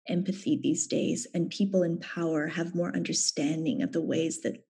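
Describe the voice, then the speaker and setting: A woman's voice: fairly low pitch, creaky, mellow, husky. A Canadian woman, a theatre actor, speaking thoughtfully as a guest in a reflective conversation. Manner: thoughtful, measured.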